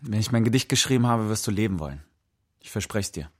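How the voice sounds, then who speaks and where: A man's voice: lowish voice, lively intonation, fast, darkish tone, husky, no room sound. An actor playing a frank, loyal, bookish male friend with a dry manner in a radio drama. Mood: warm, playful. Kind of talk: radio drama dialogue and narration.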